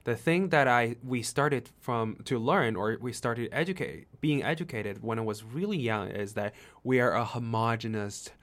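A man's voice: medium pitch, moderate pace, few pauses, a bit bright. Man hosting an interview. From China. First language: Chinese. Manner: earnest, non-native phrasing, hesitant fillers. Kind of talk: conversational interview.

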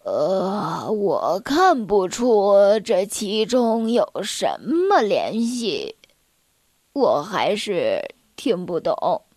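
An old circus bear with a low, heavy voice that plods along slowly, put on by a woman reading the story. He mumbles and pretends to mishear everything, sly beneath the muddle. The sound is dry and close, with no room around it. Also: sing-song, a little mellow, no echo, exaggerated voice.

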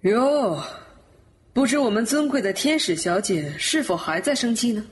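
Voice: mid-range voice, animated, moderate pace, slight background noise, lightly acted. A voice actor playing a sly, smug male death god who teases and mocks in an audio drama. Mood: teasing. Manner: teasing, mocking. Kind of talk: Chinese audio drama acting.

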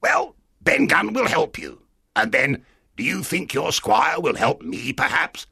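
Crazy old marooned sailor for a storybook, high cracked creaky voice, excitable and wheedling. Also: male voice, no room sound, clean studio sound, heavily acted. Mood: hopeful. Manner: strange-voiced, excitable, drawn-out emphatic phrases. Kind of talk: dramatised storybook reading.

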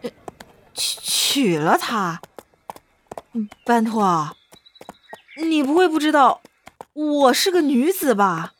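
A woman's voice: high-pitched, big pitch swings, takes it slowly, long pauses, exaggerated voice. A woman playing a carefree, naive young princess who passes as a boy, artless and hesitant, in a period audio drama. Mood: flustered, surprised.

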